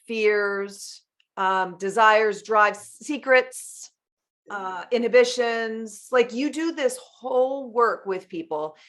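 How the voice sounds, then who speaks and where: Effusive woman podcast host, bright-pitched. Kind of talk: interview conversation.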